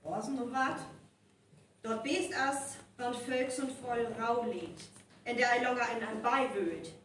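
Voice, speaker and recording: Eine Frau spielt in einem live aufgeführten nordfriesischen Hörspiel eine gesprächige, ernsthafte Ethnologin. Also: female voice, on the high side, takes it slowly. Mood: insistent. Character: talkative woman ethnologist from Kiel university, earnest. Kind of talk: live stage radio play acting in North Frisian. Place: live stage performance in a hall. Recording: roomy echoing live recording, distant, faint noise.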